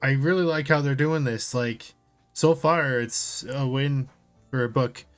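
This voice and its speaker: Slow-talking easygoing man, music behind. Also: medium pitch. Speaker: podcast co-host. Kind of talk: casual reaction chat.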